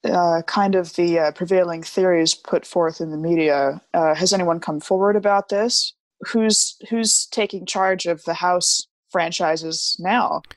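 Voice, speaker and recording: A woman's voice: lowish voice, steady tone, a little slow. A woman playing a tabletop game, improvising dialogue in a polite, hesitant, rather formal way.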